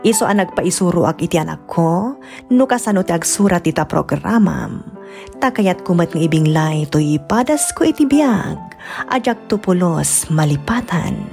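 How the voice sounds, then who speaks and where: A woman playing a letter writer near fifty, narrating her life in a measured, reflective Filipino-accented radio drama voice. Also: fairly low pitch, expressive, vocal fry, darkish tone, faint music behind.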